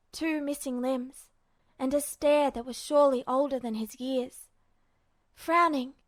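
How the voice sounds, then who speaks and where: Woman, high-pitched voice, fan fiction narrator, scripted story narration. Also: no echo.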